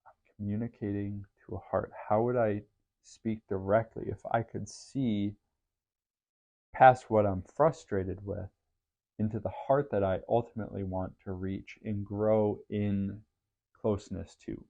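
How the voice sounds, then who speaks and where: A man hosting a podcast, talking through his thoughts in an earnest, faith-based, reflective way with a deep voice. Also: steady tone, a little slow, gravelly, dark tone, dull audio. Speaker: podcast host. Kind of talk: reflective solo podcast monologue.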